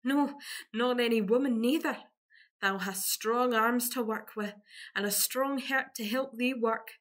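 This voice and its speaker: A woman's voice: on the high side, a little slow, a bit bright. A woman playing a sweet, modest young country lass with a broad rustic Scottish accent in a farcical radio play. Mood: tender, earnest.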